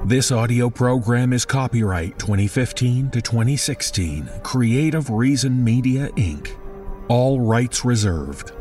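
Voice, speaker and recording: A man reading a scripted horror podcast outro as host, with a measured, theatrical and ominous delivery. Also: mid-range voice, expressive. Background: music.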